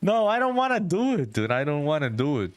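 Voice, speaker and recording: A man's voice: on the high side, very animated, brisk, no echo. A man from a Spanish-speaking country whose first language is Spanish, chatting casually and swearing a lot while he livestreams game development. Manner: swears a lot, laid-back, says "dude" often.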